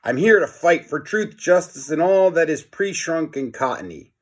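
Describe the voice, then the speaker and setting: A man's voice: fairly high pitch, lively intonation, normal speed, pitched above the performer's own voice. A man voicing a pompous, cheerful, dim-witted superhero from a children's storybook, declaiming with grand earnestness. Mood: proudly heroic.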